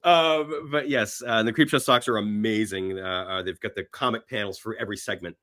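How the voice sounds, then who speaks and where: A man's voice: lowish voice, a little fast, fairly bright tone. A middle-aged man talking casually about films as a podcast guest, enthusiastic and rambling, often repeating his phrases. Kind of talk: casual film chat.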